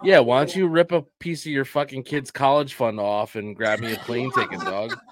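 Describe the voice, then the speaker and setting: A man's voice: medium pitch, steady tone, moderate pace. A man co-hosting a wrestling podcast, bantering casually with sarcastic, mocking and heavily profane remarks. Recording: remote call into noisy live show. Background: loud crowd.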